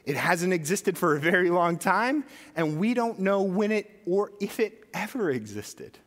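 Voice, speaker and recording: A man's voice: high voice, animated, measured pace, a bit bright, big-room sound. A man preaching a sermon as pastor, talking conversationally and putting questions to his congregation.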